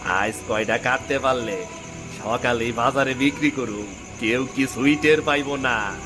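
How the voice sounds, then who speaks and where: A man's voice: medium pitch, unhurried, music in the background, natural voice. A man playing a sly, envious village sugarcane thief in a cartoon moral story, with a rural Bangladeshi Bengali dialect and a wheedling manner. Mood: scheming.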